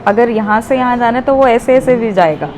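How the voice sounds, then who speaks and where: A woman's voice: fairly high pitch, a little fast, darkish tone. A woman giving explanatory interview answers as an expert guest, mixing in English technical terms. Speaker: air traffic controller, interview guest. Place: at an airport, near navigation equipment. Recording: faint ambient location noise.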